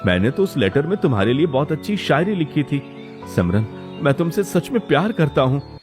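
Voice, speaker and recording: A man's voice: on the high side, big pitch swings, fairly quick, darkish tone, faint music behind, pitched above the performer's own voice. A man voicing an earnest young man in love, pleading emphatically in cartoon story dialogue.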